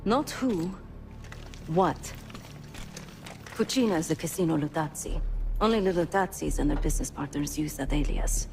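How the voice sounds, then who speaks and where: An actress playing a blunt, wry covert operative who talks casually in a video game cutscene. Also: female voice, low-pitched, moderate pace, pauses often, a little mellow, soft background music. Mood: businesslike.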